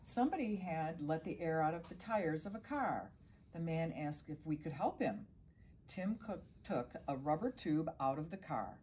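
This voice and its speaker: A woman's voice: low-pitched, darkish tone, slight background noise. A middle-aged woman reading a children's storybook aloud as a guest reader, now and then stumbling and correcting herself.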